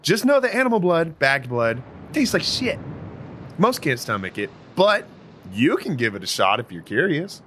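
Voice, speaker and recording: An actor playing a cocky, callous vampire mentor in an audio drama, with a flippant, sarcastic way of talking. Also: male voice, fairly high pitch, big pitch swings, normal speed, a bit bright, slight background noise. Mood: brash, dismissive.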